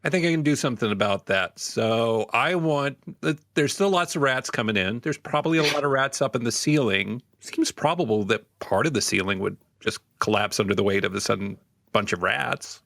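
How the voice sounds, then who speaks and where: A man's voice: medium pitch, expressive, moderate pace, vocal fry, fairly bright tone. A man playing a tabletop roleplaying game, improvising casually in and out of character.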